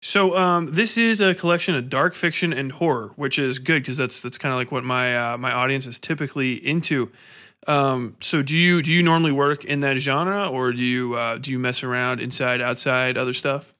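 A man with a bright, forward voice at a medium pitch hosts a casual podcast interview. He talks informally, often doubling back on his words while he shapes a question. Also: steady tone, normal speed. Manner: informal, stumbling, repeats words as he forms questions.

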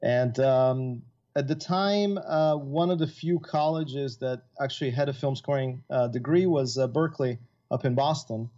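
A man's voice: medium pitch, measured pace, dark tone. A middle-aged man who grew up in Italy talks loosely as an interview guest. He rambles, full of fillers and restarts. The recording sounds a bit dull and lo-fi. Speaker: guest composer. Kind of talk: casual interview chat.

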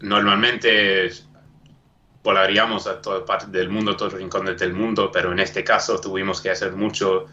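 A man's voice: sits low, little pitch movement, normal speed, pushed and bright, highs cut off, a little hiss or hum. A native English-speaking man with an Argentine-tinged Spanish accent, talking as a documentary producer, hesitant and searching for words. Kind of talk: remote podcast interview. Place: home studio.

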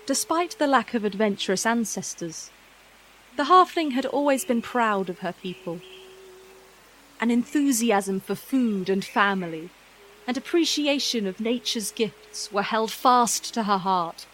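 A woman's voice: on the high side, expressive, a little slow, a bit bright, a little hiss or hum, low-quality recording. A woman reading a scripted fairy-tale narration in a storytelling style.

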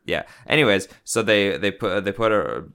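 A man's voice: lowish voice, moderate pace, creaky, a bit bright, smooth. A young Canadian man with a Canadian accent, co-hosting a podcast in casual, sarcastic, rambling chat full of fillers. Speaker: podcast co-host.

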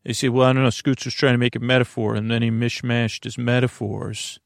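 Male podcast host for bedtime listening: gravelly, mid-pitched, halting and digressive, recorded close and dry. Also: little pitch movement, dry recording. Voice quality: gravelly, creaky. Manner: meandering, halting, digressive, trailing off mid-sentence. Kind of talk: rambling sleep podcast intro, chatty monologue.

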